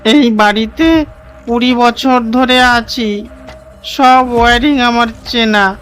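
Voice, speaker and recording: A man's voice: extremely high pitch, steady tone, takes it slowly, a bit bright, soft background music, pitched above the performer's own voice, exaggerated voice. A man voicing a friendly, bored and lonely retired skeleton ghost, proud and helpful, for a cartoon story. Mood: wistful. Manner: proud, mildly irritated, helpful.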